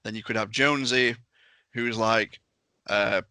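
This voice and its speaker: A man's voice: on the low side, fairly even intonation, a little slow, sharp tone, clean tone, dry recording. A man in a casual podcast discussion, explaining things informally with examples. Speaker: podcast panellist explaining a tabletop game.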